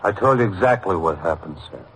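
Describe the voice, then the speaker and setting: A man's voice: sits low, lively intonation, fairly quick, slightly rough, big-room sound, heavily acted. An actor playing a stubborn, defensive patrolman in an old radio drama, terse and deferential yet insistent. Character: defensive, stubborn male patrolman, respectful to superiors. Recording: faintly noisy vintage radio recording.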